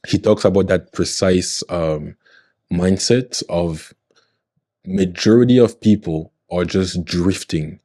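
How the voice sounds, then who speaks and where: A young man in his twenties with a low, deep voice and a mellow, dark tone. He talks earnestly and in a motivational way, often checking that he is understood. The sound is dry and close. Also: takes it slowly, no echo. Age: 20s. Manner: earnest, motivational, often checks in with "you know what I mean". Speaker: podcast guest. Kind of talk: casual podcast conversation.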